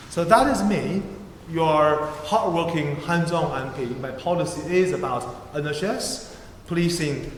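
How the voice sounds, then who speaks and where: A man from China with a Chinese accent, giving an earnest, halting candidate's speech at a hustings in a large church hall, picked up by a room mic. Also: a little high, noisy background. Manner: earnest, halting non-native phrasing. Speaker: parliamentary candidate. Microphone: far room mic, standing speaker. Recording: roomy church hall echo.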